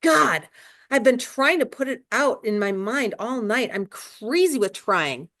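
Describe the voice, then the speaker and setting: A woman's voice: fairly high pitch, animated, a little slow, fairly bright tone, pitched above the performer's own voice. A woman reading a feverish, troubled fireman with a pleading, agitated delivery. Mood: anguished.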